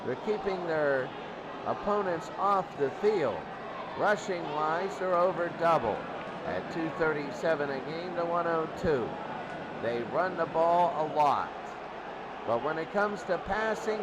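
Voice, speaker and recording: Higher-voiced male sports commentator, very noisy background. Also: lively intonation, unhurried. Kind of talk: unscripted statistics rundown before a game.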